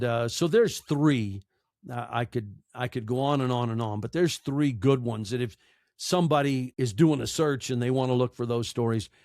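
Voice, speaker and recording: Man, mid-pitched voice, close dry sound, casual storyteller, emphatic repetition. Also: darkish tone, dry recording. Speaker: podcast guest, storyteller and narrator. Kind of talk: casual interview conversation, anecdotal.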